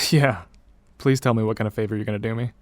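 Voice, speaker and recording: A man's voice: on the low side, fairly even intonation, fast talker, natural voice. A man playing a skeptical, curt newspaper reporter who mutters and swears in an audio drama. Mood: amused, stunned. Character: male New York newspaper reporter, skeptical, curt, profane.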